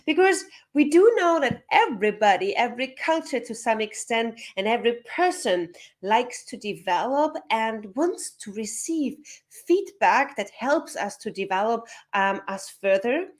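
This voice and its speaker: A woman's voice: fairly high pitch, lively intonation, a little slow. A woman hosting a live online interview, introducing a topic and guest and asking questions.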